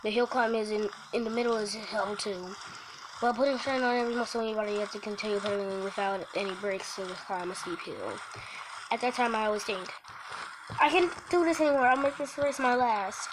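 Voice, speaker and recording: Higher-voiced woman streamer, noisy recording. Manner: halting read-aloud broken by offhand asides. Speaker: livestreamer. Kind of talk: casual livestream read-aloud of a visual novel with commentary. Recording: noisy mobile stream with clicks.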